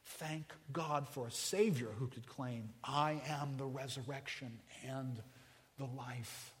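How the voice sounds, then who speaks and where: Joking pastor in a reverberant hall. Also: male voice, fairly high pitch. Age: 40s. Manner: conversational, joking with the congregation, swings from hushed to loud. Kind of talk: sermon.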